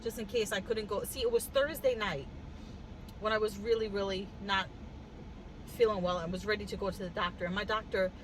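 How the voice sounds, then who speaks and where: A woman with a cough telling a story in a casual vlog, animated and wry, laughing as she goes, sitting in a car. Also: medium pitch, a little fast, a little hiss or hum, low-quality recording. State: congested with a cough. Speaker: vlogger. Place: in a car with the air conditioning on.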